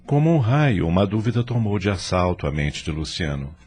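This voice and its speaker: Deep, animated male story narrator. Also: brisk, slightly rough. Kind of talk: dramatic scripted storytelling.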